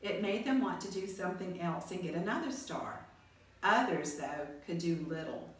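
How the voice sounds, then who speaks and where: Woman storyteller, lower-pitched, expressive read-aloud for children; very echoey room with faint noise. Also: moderate pace, far from the mic.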